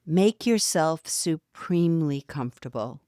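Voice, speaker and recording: A woman guiding a yoga nidra relaxation, speaking softly and soothingly with long pauses between phrases. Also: low voice, expressive, dry recording, studio quality. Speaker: meditation guide. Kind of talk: guided yoga nidra meditation.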